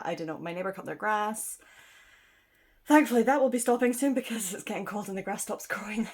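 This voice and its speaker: A woman rambling casually to camera about her reading, sniffly from a cold, chatty and self-deprecating with frequent ums and laughs. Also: medium pitch. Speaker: book vlogger. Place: indoors at home.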